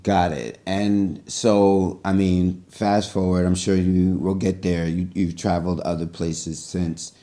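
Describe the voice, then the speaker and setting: Deep-voiced man interviewing casually. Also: level tone, slow, few pauses, warm tone. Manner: friendly, teasing questions. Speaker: podcast co-host.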